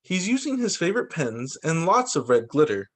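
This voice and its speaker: Lively male teacher reading storybooks aloud. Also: on the high side, normal speed. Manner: expressive, sing-song storybook delivery. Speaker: teacher reading to young children. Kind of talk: read-aloud children's story time. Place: remote video call story session.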